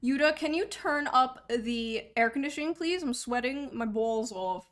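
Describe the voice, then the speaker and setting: A woman in her thirties chatting casually on a livestream, teasing her viewers, swearing freely and laughing often. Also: a little high. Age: 30s. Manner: teasing, profane, quick to laugh. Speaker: streamer.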